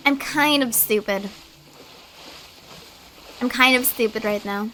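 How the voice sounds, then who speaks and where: Casting: female streamer, sharp bright tone, raised pitch, casual rambling reactive game commentary. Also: slow, a little hiss or hum, poor audio.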